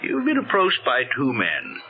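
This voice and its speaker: Avuncular doctor on old radio drama, coaxing, very swoopy intonation, tinny phone-like sound. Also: male voice, mid-range voice, wildly swooping pitch, normal speed, slight background noise. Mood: reassuring. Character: male doctor, earnest, reassuring psychological counsellor.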